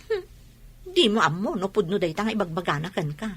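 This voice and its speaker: A woman's voice: mid-range voice, big pitch swings, fairly quick, slightly rough, no room sound. An actress with a Filipino accent playing a concerned, sensible young woman who cautions others in a radio drama. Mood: skeptical. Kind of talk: Ilocano radio drama acting.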